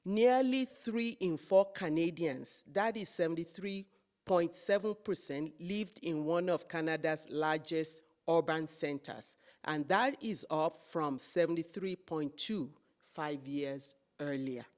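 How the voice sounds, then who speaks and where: A woman hosting an interview, speaking in a measured way with frequent fillers. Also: low-pitched, a little mellow. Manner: slow, measured, with many fillers. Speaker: host.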